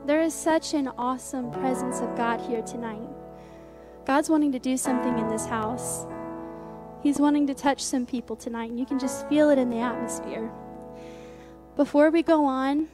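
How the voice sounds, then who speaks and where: Bright young woman addressing a church. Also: sits high. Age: young adult. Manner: upbeat, warm church talk with filler words. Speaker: college music group spokesperson. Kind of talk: church stage announcement. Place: church sanctuary stage. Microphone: handheld mic. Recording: church music playing behind the voice. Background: soft instrumental music.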